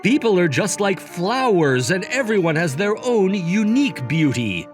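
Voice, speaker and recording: A man voicing a composed, principled young company CEO in a manga dub, speaking in a firm, explanatory way. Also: sits high. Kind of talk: manga dub narration and dialogue.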